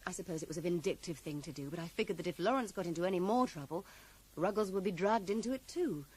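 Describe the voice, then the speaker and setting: An actress in a radio drama playing a guarded, sharp-tongued woman writer who is curt, evasive and coolly defiant. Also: on the low side, fast, natural voice. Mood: reluctant, defensive. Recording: noisy 1980s radio drama broadcast.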